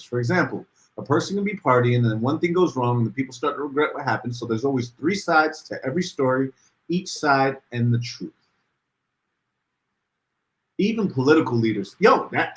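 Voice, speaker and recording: A man co-hosting a casual podcast livestream, reading out viewer comments and getting animated as he talks. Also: a little fast, low-quality recording. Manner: animated, rises in pitch when worked up. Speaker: podcast co-host.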